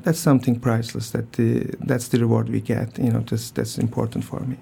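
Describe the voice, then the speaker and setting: An older man with a Turkish accent speaks in a gravelly, mellow voice. He answers radio interview questions haltingly, restarting sentences, filling pauses and often clearing his throat. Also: medium pitch, level tone. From Turkey. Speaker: transplant surgeon guest.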